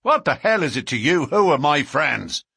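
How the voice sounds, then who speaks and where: A man reading an audiobook, voicing a surly, defiant young man who shouts back in anger. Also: fairly high pitch, big pitch swings, normal speed, creaky, breathy edge, dry recording, studio quality, pitched above the performer's own voice, exaggerated voice. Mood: furious. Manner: loud and fierce, shouting.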